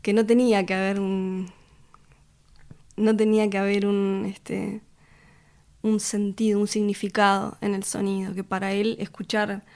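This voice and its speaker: A woman, a musician and record producer, talking through her work in an interview with a thoughtful, halting manner, full of fillers and restarts. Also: medium pitch, little pitch movement, darkish tone, smooth. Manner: thoughtful, hesitant, many fillers and restarts, laughs often. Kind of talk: casual interview conversation.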